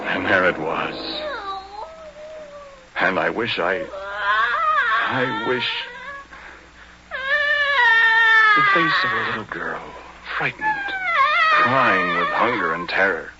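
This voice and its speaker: An actor playing a haunted middle-aged oil driller in an eerie confession, hushed and halting, in the style of 1940s radio horror. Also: male voice, lowish voice. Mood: tense, frightened, then horrified, haunted. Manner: hushed, halting, dramatic pauses. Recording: muffled, noisy old radio broadcast recording.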